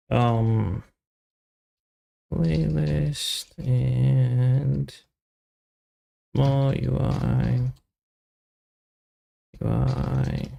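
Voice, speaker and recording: Very gravelly man, slow halting mutter. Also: medium pitch, dark tone. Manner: halting, muttering to himself in short fragments. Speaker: software developer livestreaming. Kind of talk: casual live coding commentary, thinking aloud.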